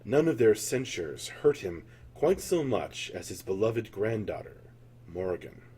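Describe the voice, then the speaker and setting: A man's voice: fairly low pitch, very animated, a little slow, dark tone, slightly rough. A man narrating a scripted fantasy tale as a storyteller, in a solemn, theatrical manner.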